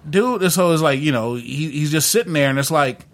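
A man with a slightly high voice and very lively intonation chats casually as a podcast co-host. He tells things haltingly, stumbling and repeating words as he goes. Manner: halting, stammering delivery with many repeats. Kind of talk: casual chat, telling an anecdote.

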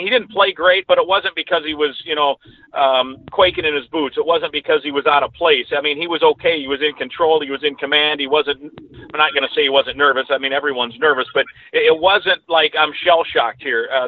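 Over a thin, phone-like connection, a man with a slightly high voice talks sports as an interview guest. He is a radio announcer who chats discursively, rewording his points as he goes. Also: slight background noise. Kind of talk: conversational sports analysis. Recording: thin, narrowband phone-like line.

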